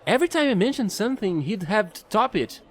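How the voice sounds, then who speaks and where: A man playing a chatty, excitable fallen alien angel who trades in space, gushing and rambling in an audio roleplay. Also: sits high, quick pace. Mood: amused, chatty. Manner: bubbly, gushing, rambling, apologetic.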